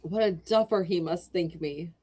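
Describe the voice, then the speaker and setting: A woman reading as a sulky young gentleman. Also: fairly low pitch, moderate pace, natural voice. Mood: glum. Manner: sulky, gloomy.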